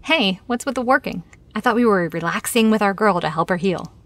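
A woman's voice: mid-range voice, lively intonation, brisk, natural voice. An actress playing a supportive, take-charge woman friend who teases, in a naturalistic audio drama. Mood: upbeat, coaxing.

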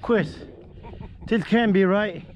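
A middle-aged man chatting excitedly while fishing from a small boat outdoors, whooping and laughing at each catch, recorded on an action camera. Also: sits high, takes it slowly, lo-fi sound, slight background noise. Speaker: fishing vlogger.

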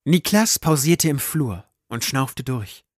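A man narrating a scripted audio drama in a measured, literary storytelling manner. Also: mid-range voice, lively intonation, no echo, clean studio sound.

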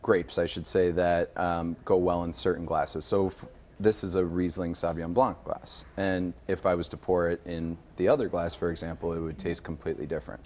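A man's voice: sits low, little pitch movement, a little fast, a little mellow. A man in his thirties, a guest in a TV studio, explaining things informally like a sommelier and often checking with a "right?". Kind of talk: casual live TV wine demonstration. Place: TV news studio.

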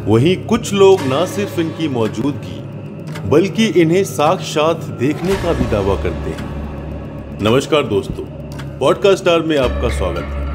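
A man hosting a horror podcast, delivering scripted narration in a dramatic, suspenseful way with emphatic, drawn-out key words. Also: fairly high pitch. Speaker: host of a horror story podcast. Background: background music.

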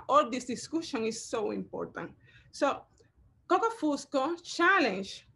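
A woman, a curator giving a measured online lecture over slides, pausing often with fillers. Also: high-pitched, a bit bright. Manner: measured, halting, with frequent fillers.

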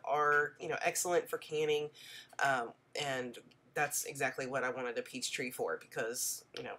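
Low-voiced woman vlogging about her garden, stuffy from a cold, chatty with lots of ums. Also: a bit bright. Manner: chatty, friendly, many ums. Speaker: homestead vlogger. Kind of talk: casual voice-over narration.

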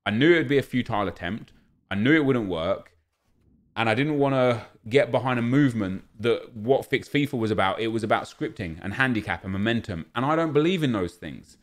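A man's voice: mid-range voice, expressive, brisk. A man in his thirties, a gaming content creator, talking earnestly over gameplay at home and often stumbling over repeated words. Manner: earnest, ranting, repeats words when restarting. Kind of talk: casual commentary over gameplay. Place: home streaming room.

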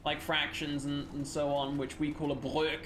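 A man's voice: on the high side, little pitch movement, normal speed, a bit bright, a little hiss or hum. Chatty young streamer man from Denmark. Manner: rambling, chatty, swears casually. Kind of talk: casual gameplay commentary. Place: small room at home. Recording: roomy sound with faint game music.

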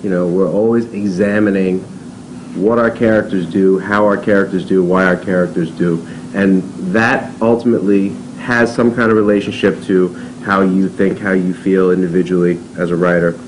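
Man, thirties, fiction writer, lower voice, loose chatty panel talk, table mic, faint room noise. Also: level tone, normal speed, low-quality recording. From born in Taiwan, raised on Long Island. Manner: conversational, lots of "you know" and "um". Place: seminar room.

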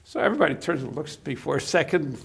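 Older Polish-born man, gravelly reminiscing. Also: on the high side, lively intonation, rapid-fire. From Poland. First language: Yiddish. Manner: hesitant, many fillers and restarts. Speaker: interview guest. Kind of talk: reminiscing conversation. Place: TV studio set. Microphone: lapel mic.

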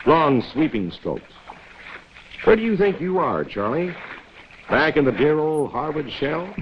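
Wry american guy narrating an old 40s training film, swoopy pitch, scolding the characters, muffled film sound. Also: sing-song, measured pace, slight background noise. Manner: wry, chiding, rhetorical. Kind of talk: 1940s instructional film narration, addressing characters directly. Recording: dull 1940s film soundtrack, music.

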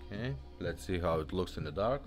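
A man's voice: low-pitched, animated, fairly quick, over music. A man giving a casual, informal product demonstration in non-native English phrasing. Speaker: presenter demonstrating restored car radios.

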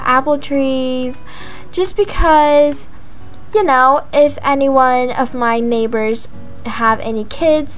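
A young woman voicing a chatty, excitable young mother in a gaming roleplay vlog, gushing and drawing out words for emphasis. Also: sits high. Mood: excited. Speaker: video creator voicing her game character. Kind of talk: voiced life-simulation roleplay vlog narration. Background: background music.

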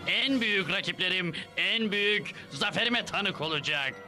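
A man's voice: high voice, moderate pace, bright, forceful tone, faint music behind. A man voicing a gloating, cruel old supervillain in a dubbed cartoon, taunting and theatrical. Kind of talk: dubbed cartoon villain acting.

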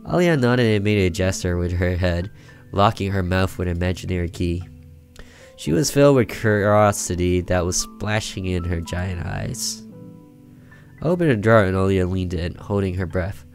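A man's voice: low-pitched, moderate pace. A young man reading a horror visual novel aloud as a let's player, with theatrical storytelling. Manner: theatrical storytelling with side comments. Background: game music with guitar.

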